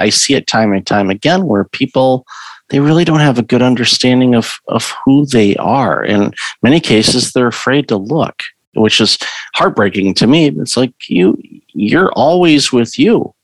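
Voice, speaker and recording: A man's voice: medium pitch, no echo, poor audio. A man speaking in an earnest, explanatory way, giving conversational advice in an interview.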